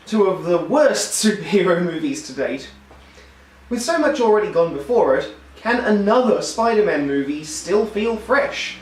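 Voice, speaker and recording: A man with a high voice that has gone hoarse delivers a wry, upbeat film review. He sounds set back from the microphone, and the room adds a noticeable echo. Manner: wry, enthusiastic critique. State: hoarse, losing his voice. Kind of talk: scripted video review. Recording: roomy echo, distant mic.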